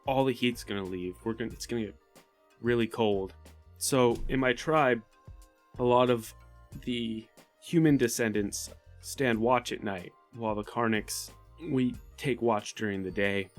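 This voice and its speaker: Practical, serious desert tribesman, man at a game table, medium pitch, roleplay dialogue. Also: fairly even intonation, a little slow, soft background music, close to an everyday voice. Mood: earnest. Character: earnest male desert tribesman, weary of the cold, practical.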